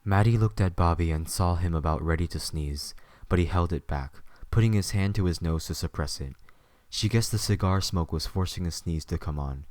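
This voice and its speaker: Male narrator, deep pitch, dry close recording, scripted audio drama storytelling. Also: normal speed, darkish tone, no echo.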